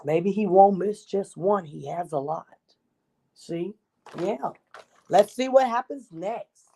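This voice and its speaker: A woman's voice: medium pitch, takes it slowly, creaky, mellow, husky. A woman reading a children's story aloud expressively, with asides to her young listeners.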